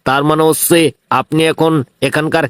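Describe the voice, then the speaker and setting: A man's voice: a little high, flat delivery, moderate pace, fairly bright tone, a little hiss or hum, natural voice. A man voicing a brash, bullying cartoon police inspector, blunt and threatening, with a Bangladeshi regional Bengali accent. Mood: curt, commanding. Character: brash, bullying male police inspector, tough and self-righteous. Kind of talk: comic cartoon dialogue.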